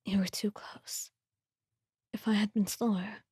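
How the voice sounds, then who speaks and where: A woman voicing a quiet, protective young swordsman who hides his worry, in a slow, breathy ASMR roleplay whisper. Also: medium pitch, flat delivery, no room sound, clean studio sound. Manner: slow, understated, tender. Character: quiet, blank-faced, protective young swordsman, secretly worried.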